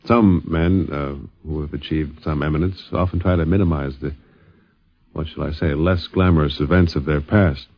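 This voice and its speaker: A man's voice: rumbling low, fairly even intonation, moderate pace, breathy edge, dry recording. An American man hosting a 1950s radio documentary, narrating as a conversational storyteller. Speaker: radio host. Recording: dull 1950s radio recording.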